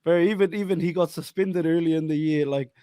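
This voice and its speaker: A young man hosting a casual podcast interview, slangy, animated and quick to laugh. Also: on the high side, no room sound, studio quality. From New Zealand. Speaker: podcast host.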